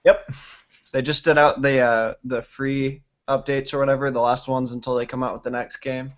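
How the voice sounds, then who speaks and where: A laid-back man from Texas rambles through casual gaming talk, slangy and easygoing. His voice sits at a middling pitch. The stream audio is dull and lo-fi, with a clear hiss of noise behind him. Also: level tone, moderate pace.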